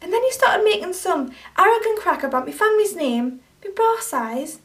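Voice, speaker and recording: A young woman playing an angry young woman who confronts her ex in a sharp, cutting, indignant way, recorded from a distance. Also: very high-pitched, lively intonation, moderate pace. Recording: distant camera mic.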